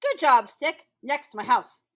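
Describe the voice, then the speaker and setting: Folktale spider, male, played by a woman reading aloud, high voice, lazy schemer who boasts and gives emphatic orders. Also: big pitch swings, unhurried, pushed and bright, full character voice. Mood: commanding. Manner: bossy and dramatic, with emphatic commands.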